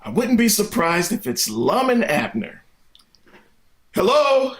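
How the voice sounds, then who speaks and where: A man's voice: sits high, huge pitch swings, slow, a bit bright, exaggerated voice. A man playing a flustered, folksy middle-aged father in an old-time radio comedy, hesitant and stammering. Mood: amiable.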